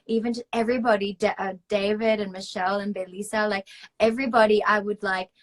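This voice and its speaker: A woman's voice: medium pitch, level tone, fast. A woman in her thirties from Australia, an actress hosting a casual live-stream chat, gushing and full of "like" fillers.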